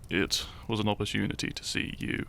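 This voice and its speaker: Man acting a low, gravelly earnest young hero. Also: little pitch movement, fairly bright tone, a little hiss or hum. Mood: fond, relieved. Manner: earnest, halting, theatrical. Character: shy young hero, earnest, secretly fond of his foe. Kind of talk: roleplay audio drama, addressing the listener.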